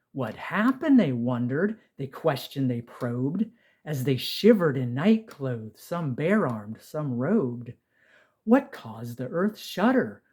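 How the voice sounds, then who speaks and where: A man reading a rhymed story poem aloud as an expressive, measured storyteller. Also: medium pitch, breathy edge. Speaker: poetry reader, narrator.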